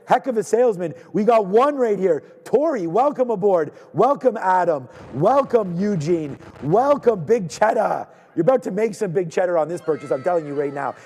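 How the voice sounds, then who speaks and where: A man from Canada hosting a live trading stream, talking in energetic, rambling casual banter, repeating words and shouting out viewers. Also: sits high, big-room sound.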